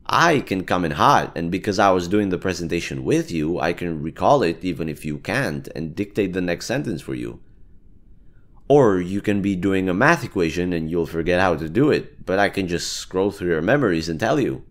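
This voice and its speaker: Man playing a deep-voiced, chatty brain parasite. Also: sing-song, normal speed. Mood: eager, reassuring. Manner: casual, persuasive, explaining. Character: chatty, bargaining brain-eating amoeba parasite living in a head. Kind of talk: one-sided audio roleplay addressed to the listener.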